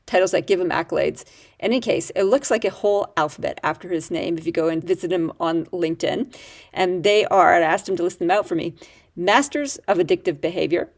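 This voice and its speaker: A woman hosting a leadership podcast, chatty and quick to laugh at herself. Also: lowish voice. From Canada. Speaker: podcast host. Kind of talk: podcast intro and interview.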